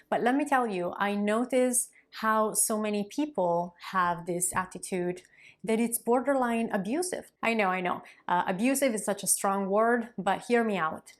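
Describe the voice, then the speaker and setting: Woman, 30s, language coach; friendly encouraging delivery, medium pitch. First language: Italian. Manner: warm, encouraging, conversational with occasional fillers. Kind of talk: direct-to-camera coaching talk.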